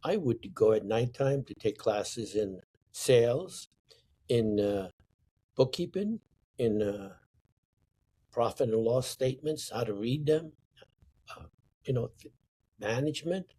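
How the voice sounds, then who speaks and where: An older man from Sicily, telling stories from his life slowly and with emphasis. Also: medium pitch, expressive, dark tone, husky. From Sicily, Italy. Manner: slow, deliberate, emphatic storytelling. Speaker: interview guest, artist. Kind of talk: reflective storytelling.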